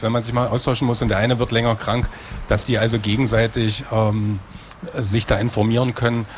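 Low-voiced man explaining school matters. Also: darkish tone, a little hiss or hum. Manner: conversational, explaining at length. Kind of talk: answering interview questions.

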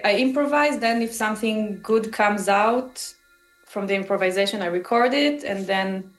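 Slow, halting Israeli-accented woman. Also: medium pitch, echoey room, low-quality recording. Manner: halting, searching for words, pausing mid-phrase. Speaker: musician and composer interviewed about their work. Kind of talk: casual, reflective interview answers.

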